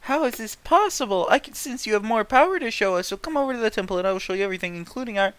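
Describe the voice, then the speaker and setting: A man's voice: sits high, expressive, racing, fairly bright tone, no echo, voice pushed up. A man voicing awestruck ninjas from a fan fiction, urging on a chosen hero in a breathless, melodramatic way. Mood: urgent.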